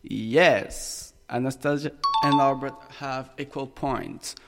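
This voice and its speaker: A teenage boy hosting a school radio quiz, reading questions in brisk bursts. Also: mid-range voice, vocal fry. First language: French. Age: teen. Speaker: quiz host. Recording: reverberant room with music behind.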